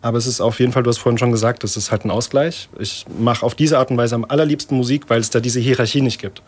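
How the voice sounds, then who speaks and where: A male choir director talks through an interview in a relaxed, searching way. He pauses, restarts his sentences and laughs now and then. His voice sits at an ordinary middle pitch. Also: husky. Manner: thoughtful, hesitant, laughs often. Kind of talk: casual interview talk.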